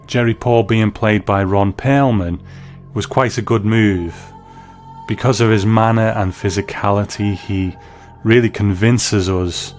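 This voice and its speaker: A man's voice: fairly low pitch, a little mellow. A man giving scripted review commentary as a narrator. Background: music bed.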